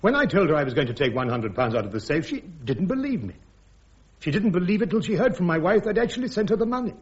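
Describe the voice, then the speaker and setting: An actor playing a defiant British army major defending himself in a radio courtroom drama, with a clipped, sardonic, insistent manner. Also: male voice, high voice, big pitch swings, fast. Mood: defiant, wry. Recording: dull radio drama recording.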